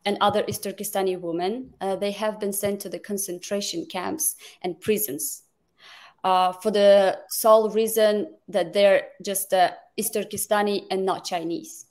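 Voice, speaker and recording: Woman guest from Kazakhstan, halting speech full of restarts, mid pitch. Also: steady tone, a little mellow. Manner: halting, many fillers and restarts. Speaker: guest advocate. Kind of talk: interview answers.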